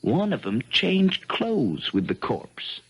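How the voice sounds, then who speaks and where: An actor playing an earnest, dogged American country lawyer in a 1970s radio drama, husky and folksy, with dramatic insistence. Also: male voice, fairly high pitch, huge pitch swings, slow pace, exaggerated voice, poor audio. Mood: triumphant, insistent.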